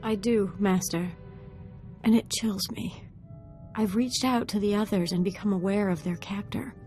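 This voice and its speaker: A young female Sith apprentice from a video game, played by an actress, with a dark, mellow voice at an average pitch. She sounds earnest and troubled, choosing formal, grave words. Quiet music plays underneath. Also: steady tone, measured pace, lightly acted. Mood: troubled, resolved. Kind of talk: dramatic video game voice acting.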